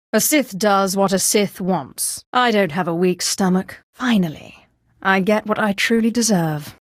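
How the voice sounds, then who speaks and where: An actress voicing a haughty, sardonic female Sith sorceress villain for a video game, with deliberate, theatrical delivery. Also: mid-range voice, dry recording, clean studio sound, lightly acted. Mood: smug. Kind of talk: scripted video game character lines.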